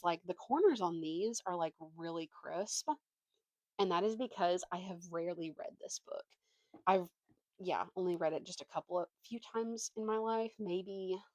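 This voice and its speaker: A young woman talks in a casual vlog style with a voice a little on the low side. She is opinionated and slangy, with a self-deprecating streak. The sound is dry, with little room to it. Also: darkish tone, no echo. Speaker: book vlogger.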